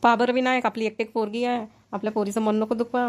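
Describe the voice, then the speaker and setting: A woman plays a middle-aged cartoon village wife with a fairly high voice and a quick tongue. She is outspoken and emphatic, with a Vidarbha Marathi accent. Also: flat delivery, lightly acted. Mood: insistent. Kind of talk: amateur cartoon voice acting.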